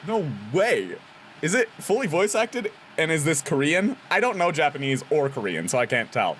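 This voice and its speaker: A young man doing jokey, animated let's-play commentary as a voice actor reading a visual novel. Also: fairly high pitch, moderate pace, slight background noise. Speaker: voice actor playing a game.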